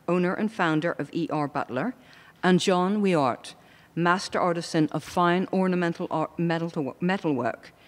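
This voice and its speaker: Host reading a formal introduction. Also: mid-range voice. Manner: reads a prepared introduction. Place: lecture hall. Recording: long reverb of a lecture hall.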